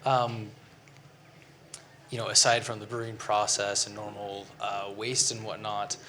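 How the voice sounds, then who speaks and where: A man with a fairly low voice answers on a conference panel. He talks in a relaxed, casual manner with light chuckles, and faint noise sits behind him. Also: unhurried. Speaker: brewer on a panel. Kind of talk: panel discussion.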